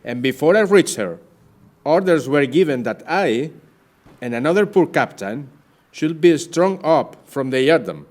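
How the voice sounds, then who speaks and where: An actor playing a proud, wronged Spanish sea captain in an audio play, with formal, declamatory speech. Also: male voice, fairly high pitch, expressive, measured pace, a little mellow. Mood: indignant.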